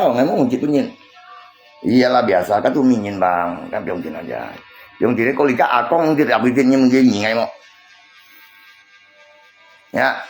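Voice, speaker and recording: A man chatting casually on a livestream, repeating himself and arguing his point to viewers. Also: medium pitch. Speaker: live streamer.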